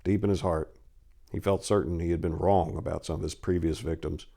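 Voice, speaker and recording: Male narrator, lowish pitch, grave theatrical reading of sword-and-sorcery fiction. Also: fairly even intonation, a little fast, gravelly, mellow. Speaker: storyteller. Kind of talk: dramatic scripted fantasy narration.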